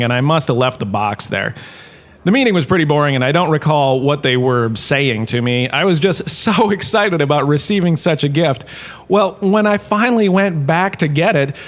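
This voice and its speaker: Man playing a chatty, higher-voiced washed-up star professor. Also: lightly acted. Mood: excited, oblivious. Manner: rambling, chatty, theatrical enthusiasm. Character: washed-up holodrama star turned cheerful, oblivious professor. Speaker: lecturer. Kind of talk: comedic in-universe audio drama lecture.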